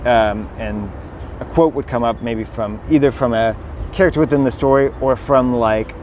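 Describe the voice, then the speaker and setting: A man's voice: medium pitch, normal speed. Danish-born man, American accent, street noise. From Denmark. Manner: eager, rambling storyteller with many fillers. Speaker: podcast guest. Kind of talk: casual conversation. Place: outdoors at a busy waterfront pier. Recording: outdoor recording with loud traffic noise. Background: city traffic.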